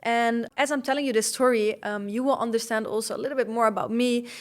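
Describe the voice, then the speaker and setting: A woman's voice: fairly high pitch, fairly even intonation, fast talker. A young woman with a slight Dutch accent, hosting her first podcast episode as a candid, slightly nervous solo talk with fillers. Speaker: podcast host.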